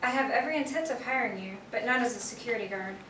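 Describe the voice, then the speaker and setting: A composed, businesslike female curator, played by a stage actress speaks quickly in a fairly high voice. Her delivery is formal, in the manner of a radio noir drama. The sound is roomy, as if miked from across a theatre. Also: a bit bright, lightly acted. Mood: poised, confiding. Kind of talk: old-time radio drama acting on stage. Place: theatre stage, recorded from a distance. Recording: echoey stage, distant mic, faint noise.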